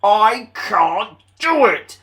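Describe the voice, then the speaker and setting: A man voicing a big, proud, grumbling steam engine for a children's storybook, with pompous, drawn-out speech. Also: big pitch swings, bright, forceful tone, exaggerated voice. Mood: straining, grumbling. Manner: pompous, booming, drawn-out delivery.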